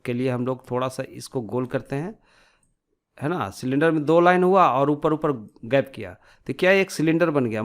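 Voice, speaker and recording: A man giving an informal step-by-step tutorial, explaining by asking and answering his own questions and mixing in English technical terms. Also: mid-range voice. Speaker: tutorial instructor.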